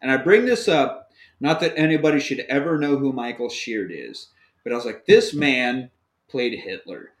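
A man's voice: medium pitch, expressive, moderate pace. A man hosting a podcast, recapping a movie in a casual, joking, profane way. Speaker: podcast host. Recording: roomy echoey room.

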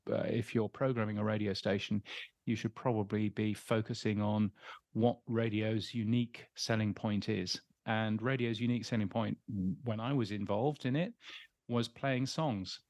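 A middle-aged man with an English accent talks through interview answers in a casual, halting way, filling pauses with ums. His voice sits slightly low and has a gravelly edge. The recording is dry and close. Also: a little mellow, no echo. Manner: hesitant, many ums and restarts. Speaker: interview guest.

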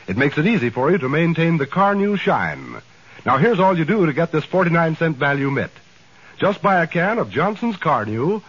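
Animated, husky American radio pitchman. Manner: salesmanlike, direct address. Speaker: commercial announcer. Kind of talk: 1940s radio sales pitch, scripted. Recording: dull vintage 1940s radio recording.

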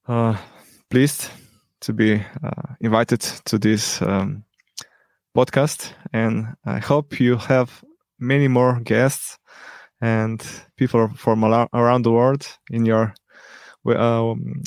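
Slow, gravelly man with frequent ums. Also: mid-range voice, darkish tone, no room sound. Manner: hesitant, many ums and pauses. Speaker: teacher and podcast guest. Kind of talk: unscripted interview answers.

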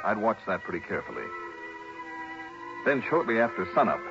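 Casting: cool, level-headed private eye, low voice, clipped and probing, old dull-sounding radio drama. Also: male voice, very animated, brisk, creaky. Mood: reflective. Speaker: lead actor and narrator. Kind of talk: 1950s-60s radio detective drama acting, first-person narration. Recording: dull old radio recording, music behind.

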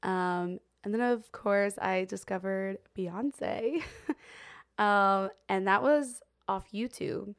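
A woman's voice: mid-range voice, takes it slowly. A young woman co-hosting a podcast, talking casually with lots of fillers and frequent laughs. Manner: chatty, many fillers like "um" and "like", laughs often. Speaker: podcast co-host. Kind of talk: casual chat.

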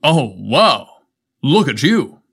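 A man's voice: mid-range voice, big pitch swings, slow pace, gravelly, fairly bright tone, husky, full character voice. A man voicing a flustered, polite male schoolteacher who stammers and fumbles over his words in a story. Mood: awed. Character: flustered, eager male elementary school teacher, gushing politely.

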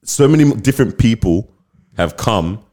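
A man's voice: on the low side, animated, moderate pace, mellow. A young man hosting a casual podcast chat, laid-back and slangy, often saying bruv and fam. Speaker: podcast host.

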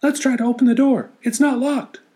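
A man voicing eager little mice and woodland animals with a squeaky voice. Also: steady tone, a little fast, warm tone. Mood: curious.

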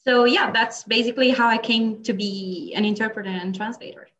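A woman's voice: fairly high pitch, a little fast, a bit bright, lo-fi sound. Italian-accented woman, chatty podcast guest. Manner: chatty, laughs often, many fillers and restarts. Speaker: guest interpreter and translator. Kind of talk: casual podcast interview.

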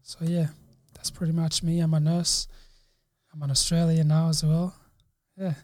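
A man's voice: on the high side, flat delivery, normal speed, a little mellow, dry recording, clean studio sound. A young man from Congo whose first language is Kinyarwanda, talking as a podcast guest in an easygoing, loose way. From Congo, raised in Uganda. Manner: easygoing, talks in short loose phrases with many likes and yeahs. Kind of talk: casual interview.

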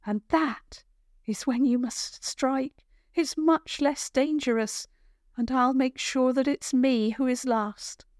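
Woman playing a high-voiced, brisk village girl. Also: fairly even intonation, darkish tone, no room sound, clean studio sound. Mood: urgent, confiding. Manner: brisk, matter-of-fact, bossy. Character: brisk, confident young village girl with a plan.

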